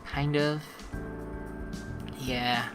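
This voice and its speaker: A man's voice: mid-range voice, level tone, drawn-out words. A man doing casual let's play commentary, thinking aloud in a rambling, informal way with some swearing. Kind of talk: casual game commentary with reading of on-screen text. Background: game music.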